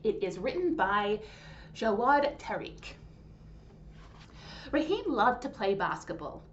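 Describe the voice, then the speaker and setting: A woman hosting a storytime, reading a children's story aloud in an animated, clearly phrased way. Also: medium pitch, takes it slowly. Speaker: storytime host and reader. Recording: dull lo-fi sound, faint noise.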